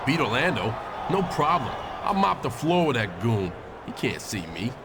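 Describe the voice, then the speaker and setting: A man's voice: medium pitch, very animated, moderate pace. A man voicing a cocky, combative wrestler with slangy, boastful swagger in a video game cutscene. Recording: noisy, with crowd sound behind.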